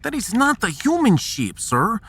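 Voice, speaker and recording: A woman's voice: fairly high pitch, wildly swooping pitch, a little slow, fairly bright tone, husky, no echo, exaggerated voice. A woman playing a pragmatic, sardonic starship science officer in a sci-fi audio drama, with a wry, analytical manner. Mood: awed, grave.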